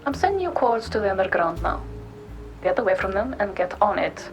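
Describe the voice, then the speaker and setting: A woman's voice: medium pitch, very animated, a little fast. Woman as blunt, bossy handler over music. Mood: brisk, sardonic. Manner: blunt, commanding, sardonic. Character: brusque, bossy, foul-mouthed female job handler. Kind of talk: noir audio drama acting. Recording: music behind the voice.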